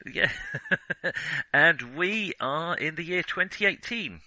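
A man with a very bright, sharp-edged voice chats about films as a podcast co-host. He stops, restarts and hesitates often, with a dry room sound and a self-mocking, joking tone. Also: fairly high pitch, big pitch swings, no echo. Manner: halting, self-interrupting, hesitant phrasing. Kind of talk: casual film discussion.

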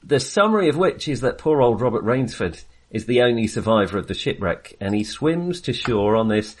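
A man co-hosting a podcast, chatting casually about films in a halting, jokey, self-deprecating way. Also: mid-range voice, big pitch swings. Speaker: podcast co-host. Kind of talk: casual film discussion.

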